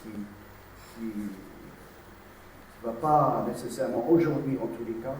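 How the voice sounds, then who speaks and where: A man whose first language is English, answering press questions haltingly with many hesitations. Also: medium pitch, darkish tone. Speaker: insurance company executive. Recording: distant mic in an echoey room with noise.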